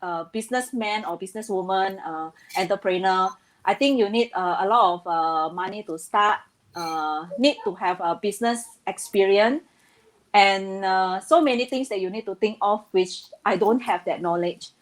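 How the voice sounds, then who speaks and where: Woman, 30s, from Indonesia, interview guest; earnest, halting delivery with frequent fillers. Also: mid-range voice. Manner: hesitant, many fillers, earnest. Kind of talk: casual interview talk.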